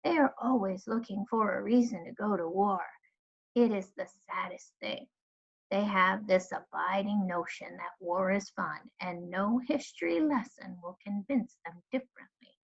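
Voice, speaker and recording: Elderly female librarian, played by a woman reader, fond dramatic storybook teller, unhurried and emphatic, dull recording. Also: mid-range voice, vocal fry, breathy edge. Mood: solemn. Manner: slow, drawn-out, emphatic phrasing. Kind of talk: storybook read-aloud.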